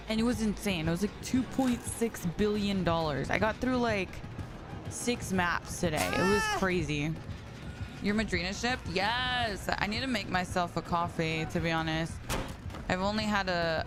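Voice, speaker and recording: A tired young woman chatting casually on a live stream, greeting viewers by name with lots of "like" and "you know". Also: mid-range voice. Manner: chatty, reads and greets chat, frequent likes. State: tired from staying up late. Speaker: livestreamer.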